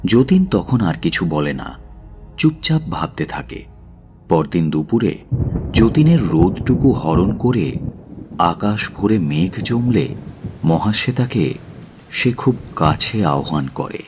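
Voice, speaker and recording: Casting: male storyteller, deep and unhurried, giving dramatic, literary narration in measured phrases. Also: sing-song, over music.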